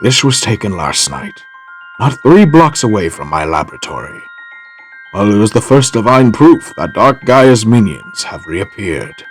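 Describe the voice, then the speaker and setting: Man as older male professor, lowish voice, very varied intonation, formal, grave, audio drama. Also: big pitch swings, measured pace, soft background music. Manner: formal, scholarly. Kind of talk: fan audio drama acting.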